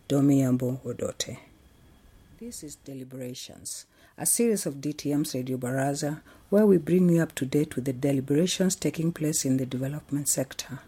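Mellow, husky radio host. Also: male voice, on the high side. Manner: measured, announcing tone. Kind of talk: scripted radio narration.

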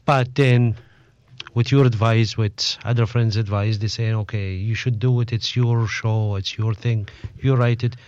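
A man interviewing casually as a radio presenter, with halting, phrasing. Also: fairly low pitch, fairly even intonation, darkish tone, no room sound. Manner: halting, non-native phrasing. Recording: muffled, dull, dry close recording.

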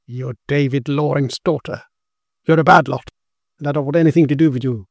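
A man's voice: mid-range voice, fairly even intonation, brisk, vocal fry, dry recording. Bewitched young gent played by a male reader, cunning and peevish, gothic story, dry sound. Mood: sly, hostile. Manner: fretful, leering. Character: bewitched young man, fretful, cunning and menacing. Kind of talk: dramatised gothic horror story reading.

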